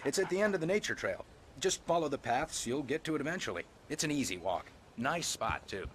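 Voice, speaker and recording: A folksy, animated park ranger. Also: male voice, fairly high pitch, a little fast, a little hiss or hum. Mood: friendly. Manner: folksy, obliging. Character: friendly, easygoing male park ranger renting cabins. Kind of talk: video game voice acting.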